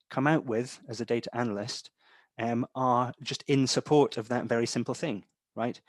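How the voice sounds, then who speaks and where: A young man with a mild Scottish accent, softened by English parents, giving a chatty instructor's talk full of ums and a habit of asking "right?". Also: medium pitch, dry recording. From Scotland. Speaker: data analytics instructor. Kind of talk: webinar-style lecture.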